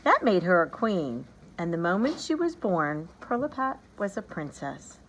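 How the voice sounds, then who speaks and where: A woman reading a children's story aloud in an animated, expressive, emphatic storytelling manner. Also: on the low side, a little slow, rough recording. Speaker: storybook reader. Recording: background noise behind the voice.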